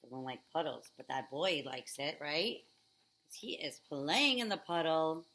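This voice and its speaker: A woman reading a picture book aloud to children, expressive, with chatty questions and asides. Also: sits low, measured pace.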